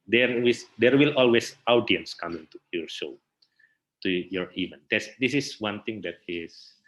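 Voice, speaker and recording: A man with an Indonesian accent explaining things in an interview, halting and searching for his words. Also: lowish voice, fairly bright tone, low-quality recording. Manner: halting, searching for words in non-native English. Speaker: interview guest, theatre researcher and festival director.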